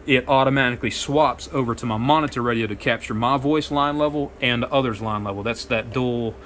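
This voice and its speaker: A man's voice: medium pitch, brisk, a bit bright, a little hiss or hum. A man giving an informal product walkthrough as a radio technician, explaining and rephrasing his points. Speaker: radio technician, shop owner. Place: indoor radio workshop with test equipment.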